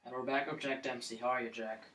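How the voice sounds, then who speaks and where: A man playing a brisk 1920s sports interviewer in a scripted radio show, recorded in an echoey room. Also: mid-range voice, fairly even intonation, bright, forceful tone, a little hiss or hum, natural voice. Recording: echoey room, slightly distant mic.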